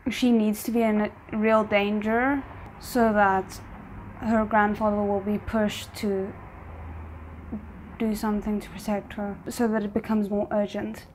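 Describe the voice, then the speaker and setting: A woman giving casual reaction commentary, thinking aloud in an expressive way. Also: mid-range voice, unhurried, slight background noise, poor audio. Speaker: reaction video host.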